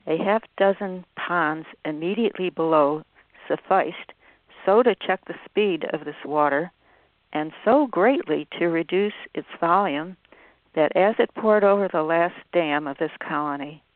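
A woman's voice: on the low side, a little slow, dry recording. A woman, a wildlife biologist, reading literary narration aloud. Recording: tinny phone-like audio.

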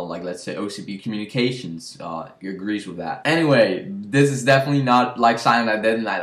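A young man chatting casually as host of a movie review video, recorded indoors at a desk. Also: lowish voice. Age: young adult. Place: small room with shelves, indoors. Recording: roomy indoor echo.

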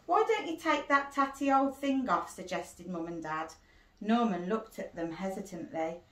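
A middle-aged woman, a primary school teacher, reading a picture book aloud to children in an expressive, deliberate storytelling manner, recorded on a phone indoors. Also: medium pitch. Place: small cosy sitting room indoors. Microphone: handheld phone a short distance away. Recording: roomy echo, phone recording.

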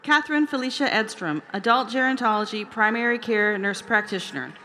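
Higher-voiced woman reading graduate names. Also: pushed and bright, slight background noise, rough recording. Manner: careful, deliberate pronunciation of names. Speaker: commencement name reader. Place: large outdoor stadium over a PA system.

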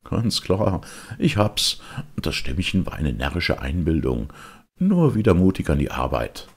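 A simple old carpenter from a storybook, acted out by a male reader, with a gravelly, husky voice that swings widely in pitch. He mutters and blusters to himself to cover his fear. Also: sing-song, a little slow, full character voice. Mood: forced cheer.

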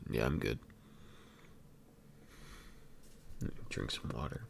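A man playing an affectionate, clingy emo boyfriend in an intimate ASMR roleplay, murmuring tenderly and haltingly with a breathy, gravelly, very deep voice. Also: slight background noise, exaggerated voice. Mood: reassuring, soothing. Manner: tender, unhurried, halting and doting.

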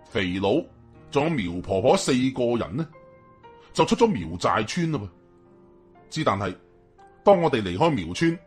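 Male storyteller, Hong Kong Cantonese accent, husky and highly expressive, colloquial suspense narration. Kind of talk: dramatic first-person story narration.

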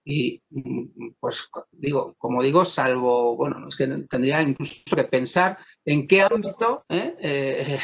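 A man from Spain giving an academic law lecture over a video call, pausing often and restarting his sentences. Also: mid-range voice, creaky, husky, rough recording. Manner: thoughtful, many pauses, fillers and restarts.

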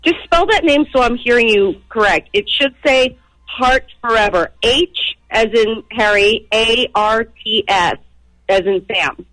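A woman's voice: a little high, a little slow, a bit bright, no echo. A woman on a phone call as an upset customer, exasperated and emphatic. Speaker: prank call victim, customer.

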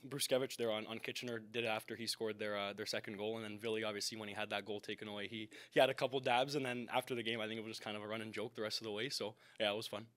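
A man's voice: on the low side, fairly even intonation, very fast, hardly any pauses, a bit bright. A young man, a hockey player giving a post-game interview. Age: young adult. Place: indoor media backdrop at a rink. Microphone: microphone on a stand in front.

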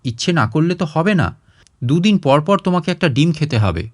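A man's voice: on the high side, lively intonation, moderate pace. A man voicing a grave, concerned adult daughter in a story, questioning and scolding insistently. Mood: insistent nagging.

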